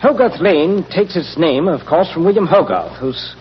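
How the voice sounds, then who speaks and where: An actor playing a nervous, genteel British banker, hesitant and stammering, in the manner of 1940s radio drama. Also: male voice, on the high side, animated, normal speed, lightly acted. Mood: explanatory, formal. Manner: hesitant, fussy, polite, stammering. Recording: muffled, hissy, reverberant 1940s radio recording.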